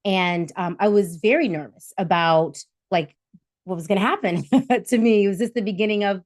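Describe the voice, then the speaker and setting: A woman's voice: mid-range voice, moderate pace, no room sound. A woman in her late forties talks through a personal story as she opens an interview, loose and chatty with plenty of ums. Her voice sits in a middle range and sounds close and dry, with no room around it. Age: 40s. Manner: chatty, warm storytelling with fillers. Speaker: host. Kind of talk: casual interview chat.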